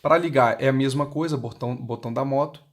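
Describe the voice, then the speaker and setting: A man's voice: medium pitch, fast talker. A man with a Brazilian Portuguese accent giving an unscripted, step-by-step product explanation in a plain, instructional manner. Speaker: intercom seller giving a product demonstration. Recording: clearly noisy recording with clicks.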